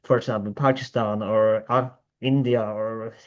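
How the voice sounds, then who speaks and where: A man's voice: fairly low pitch, fairly even intonation. A man hosting a casual podcast, agreeing often and restarting his sentences as he talks. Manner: frequent agreeing "yeah", halting restarts. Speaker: podcast host. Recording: dull lo-fi audio.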